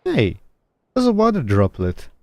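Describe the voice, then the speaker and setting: A man's voice: a little high, huge pitch swings, unhurried, creaky, a little mellow. A man giving casual gameplay commentary, thinking aloud in a loose, rambling way as he streams. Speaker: game streamer.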